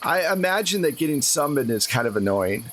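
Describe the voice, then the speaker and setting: A man's voice: a little high, big pitch swings, fairly quick, a bit bright. Guy running a tabletop rpg, talking casually, some noise behind him. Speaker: game master. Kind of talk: improvised tabletop game narration and chat.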